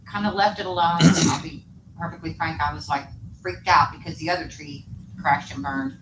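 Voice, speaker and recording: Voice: mid-range voice, steady tone, moderate pace. A board member at a public meeting, explaining things in a friendly way with frequent restarts. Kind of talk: casual meeting discussion. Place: echoey meeting room. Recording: echoey, distant, noisy meeting room audio.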